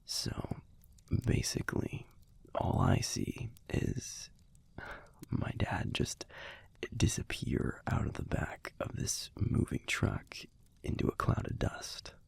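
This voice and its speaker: A man playing a gruff but fond young werewolf boyfriend in an intimate audio roleplay, telling a story in a hushed, halting, husky and gravelly voice. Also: sits low, expressive, dry recording, whispered, heavily acted. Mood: animated, amused. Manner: hushed, halting, conversational delivery with pauses.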